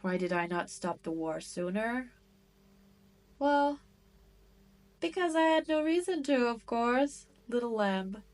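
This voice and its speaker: Slow-talking haughty demon queen acted by a woman. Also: on the high side, animated, darkish tone. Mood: haughty, matter-of-fact. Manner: slow, teasing, condescending drawl with theatrical emphasis. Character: cruel demon queen, haughty, teasing, possessive, amused by humans. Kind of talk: scripted roleplay audio drama.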